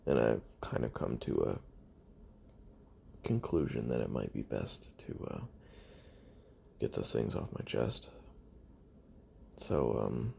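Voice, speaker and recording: A man playing a weary, lonely young retired assassin who makes a halting, brooding confession on tape in an audio roleplay, with a gravelly, husky voice. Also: very deep voice, very animated, warm tone, a little hiss or hum, heavily acted. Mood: melancholy, resigned. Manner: halting, brooding, trailing off with sighs and pauses.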